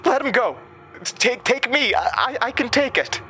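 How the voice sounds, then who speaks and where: A man's voice: very high-pitched, lively intonation, moderate pace, sharp tone, a little hiss or hum, voice pushed up, exaggerated voice. A man acts a brave, injured camp boy in a horror radio play. His voice is lifted and tense, earnest and a little stammering. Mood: desperate shouting. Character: brave, hurt teenage boy at summer camp, self-sacrificing.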